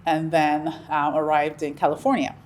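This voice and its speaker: A middle-aged woman from Nigeria, a Yoruba speaker, talking about her life in a relaxed, reflective interview, with frequent ums and restarts. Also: sits low, normal speed, a little hiss or hum. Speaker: interview guest. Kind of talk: conversational interview.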